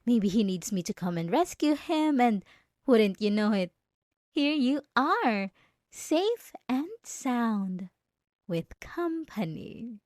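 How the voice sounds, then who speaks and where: A woman's voice: a little high, very animated, slow pace, darkish tone, no echo, clean studio sound. A woman acting out a possessive, jealous schoolgirl bully in a roleplay audio drama, taunting and sarcastic with sugary false politeness. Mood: sugary menace.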